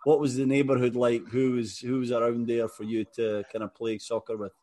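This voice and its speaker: A man's voice: mid-range voice, flat delivery, fairly quick, darkish tone. A man hosting an interview podcast, friendly and upbeat, calling his guest mate. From Scotland. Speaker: podcast host, former footballer. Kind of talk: casual interview chat.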